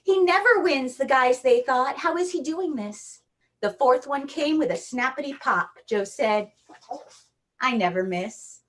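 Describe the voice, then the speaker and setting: A playful woman magician from Hawaii with a bright, high voice delivers jokey stage patter straight to the camera. She performs for an online audience, speaking into the camera mic. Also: animated, measured pace. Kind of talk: online magic show with rhyming story patter.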